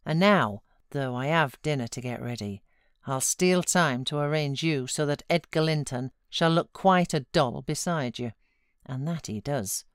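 A woman's voice: deep voice, clean tone, no room sound, clean studio sound. A British woman voicing a motherly housekeeper who coaxes and scolds frankly in a storybook reading. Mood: coaxing. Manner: brisk advice, coaxing and chiding.

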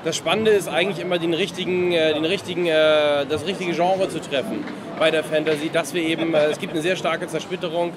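A man's voice: on the high side, steady tone, brisk, hardly any pauses, background noise. A young man answering casually as an interview guest at a fair booth, searching a little for words. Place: book fair booth in a large hall. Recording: loud trade fair crowd din.

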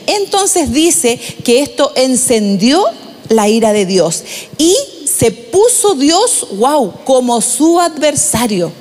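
A middle-aged woman pastor preaching and reading scripture aloud at a measured pace, into a handheld microphone on a large church stage. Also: high voice, a little mellow. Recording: long hall reverb.

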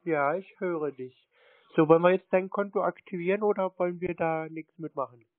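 A man's voice: high-pitched, brisk, no echo. A man chatting casually on the phone as a prankster, teasing people with feigned earnestness. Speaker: prank caller answering scam calls. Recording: narrow, tinny phone-like sound.

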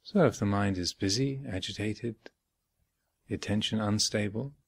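A man giving guided meditation instruction as a teacher, speaking in measured short phrases with long pauses between them. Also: fairly low pitch, dry recording. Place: retreat center, close dry recording.

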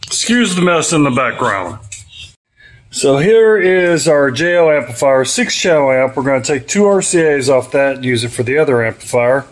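A man's voice: mid-range voice, lively intonation, normal speed. A man in his thirties giving a casual, offhand hands-on product review and tutorial while he works. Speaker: host of a DIY car audio video. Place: home garage, at the car and workbench. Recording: roomy echo, faint noise and clicks.

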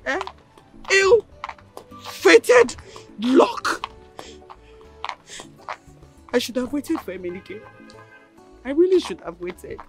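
A woman's voice: sits high, huge pitch swings, very slow, pauses often, soft background music, full character voice. An actress with a Nigerian accent playing a defiant, distraught woman in a family melodrama, repeating her words for emphasis. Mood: bitter, lamenting. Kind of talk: screen drama acting.

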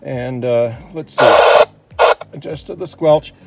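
A man's voice: mid-range voice, slow, very noisy. An American man, around his fifties, chatting casually and thinking aloud as he explains his gear hands-on. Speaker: vlogger demonstrating Jeep radio gear. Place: outdoors by a vehicle and inside a truck cab.

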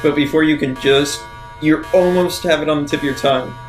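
A man's voice: a little high, a little fast, roomy sound. A man playing a tabletop role-playing game in casual talk, prompting and urging the others along. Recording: clear music bed behind voice.

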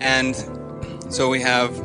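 A man in his thirties hosting a live interview on a handheld microphone, speaking haltingly with frequent pauses. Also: medium pitch, completely flat delivery, music in the background. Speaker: host. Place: indoor stage with curtain.

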